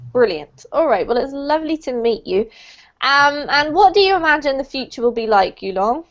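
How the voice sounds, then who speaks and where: A woman teaching an online English class, greeting new students in a bright, encouraging way over a video call. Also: sits high, very animated, normal speed. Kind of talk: friendly online class talk. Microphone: computer mic on a video call. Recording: dull lo-fi call audio.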